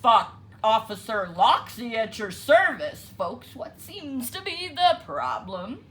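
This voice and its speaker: Storybook fox villain, played by a woman: male, sly and gloating, bright tone, swooping delivery. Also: fairly high pitch, very animated, slow pace, heavily acted. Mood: falsely official. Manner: gloating, scheming, chuckling over victims.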